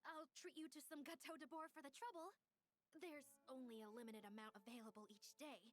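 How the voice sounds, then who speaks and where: A woman's voice: high voice, fairly even intonation, brisk, lightly acted. An actress playing a perky, inquisitive young female reporter in a video game. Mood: hopeful, polite. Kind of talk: animated game voice acting.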